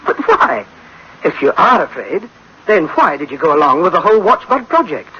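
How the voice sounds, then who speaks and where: A man playing an upbeat, reassuring subordinate briefing his boss in a radio drama, brisk and colloquial, on a muffled old recording. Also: high-pitched, very animated, pushed and bright, slight background noise, full character voice. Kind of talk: 1960s-style radio drama acting.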